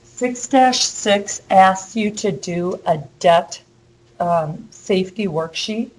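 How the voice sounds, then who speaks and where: A woman's voice: mid-range voice, slow, a little mellow. A woman college instructor giving a casual, chatty lecture in a classroom while losing her voice. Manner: casual, chatty, uses fillers. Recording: echoey lecture room with faint hum.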